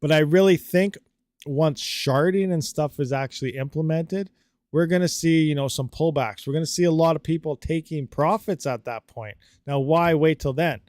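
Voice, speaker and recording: A man's voice: a little high, moderate pace, a little mellow, no room sound, studio quality. A man hosting a live stream, chatting casually and rambling with lots of fillers while answering viewer comments. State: slightly dry throat. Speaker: livestream host.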